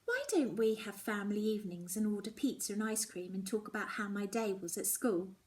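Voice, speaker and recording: A woman's voice: medium pitch, lively intonation, brisk, darkish tone, lightly acted. A woman voicing an angry, hurt schoolgirl in a storybook, with an impassioned, emphatic delivery. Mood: indignant. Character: angry, hurt schoolgirl narrator, confronting her mother.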